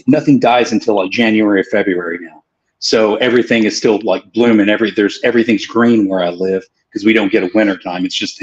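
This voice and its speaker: A man, rambling through a joking anecdote on a casual livestream. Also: lowish voice. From Tennessee, US. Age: 40s. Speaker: co-host. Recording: dull lo-fi call audio.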